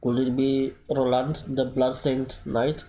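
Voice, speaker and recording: An actor playing a calm, reserved young traveler hero in a video game, speaking with restraint. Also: male voice, mid-range voice, takes it slowly, low-quality recording. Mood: pensive. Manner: restrained, brief replies. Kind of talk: video game character acting.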